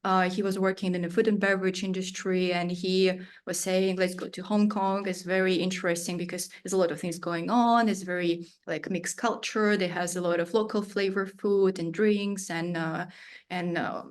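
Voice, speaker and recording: A woman's voice: on the low side, level tone, moderate pace. Woman, native russian speaker, studio founder being interviewed, loose grammar, filler-heavy. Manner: searching phrasing with many fillers and non-native grammar. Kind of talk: conversational interview answers.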